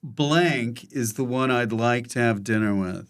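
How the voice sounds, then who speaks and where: A man's voice: medium pitch, a little slow. A man hosting a casual interview, speaking in short interjections and clipped phrases. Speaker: podcast host.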